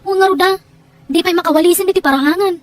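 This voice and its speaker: Woman acting a nagging daughter, very high-pitched. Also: fast, no echo. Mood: complaining. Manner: nagging, reproachful. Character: scolding, disapproving young adult daughter. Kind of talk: Ilocano audio drama dialogue.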